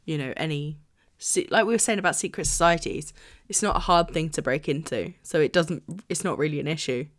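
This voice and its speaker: A woman's voice: deep voice, brisk, hardly any pauses. A woman co-hosting a book podcast, chatting casually and laughing often. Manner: chatty, laughs often, reads blurbs aloud. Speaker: podcast co-host.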